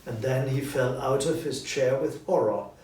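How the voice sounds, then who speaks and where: A man reading a story aloud as narrator, in an expressive scripted storytelling style. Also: mid-range voice, normal speed. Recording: roomy echo, distant mic, background noise.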